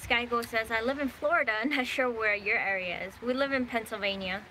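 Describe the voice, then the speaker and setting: A woman's voice: on the high side, a little fast, bright, forceful tone, a little hiss or hum, poor audio. A woman co-hosting a casual livestream, reading viewer comments aloud and giggling.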